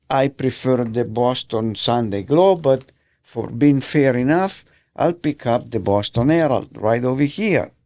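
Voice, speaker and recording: A man with an Italian accent hosting a podcast, reading the paper aloud in a halting way, slipping and rereading words. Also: mid-range voice, darkish tone, no room sound. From Italy. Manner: halting, slips and rereads words, many fillers. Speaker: podcast host. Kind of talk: casual solo podcast, reading newspapers aloud. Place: podcast studio. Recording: dry close studio sound.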